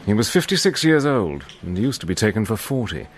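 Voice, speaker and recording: An actor playing a cool, determined wartime adventurer, telling his own story in measured, dramatic narration. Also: lowish voice, big pitch swings. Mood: measured, reflective. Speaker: narrator and lead character. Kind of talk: dramatic first-person scripted narration.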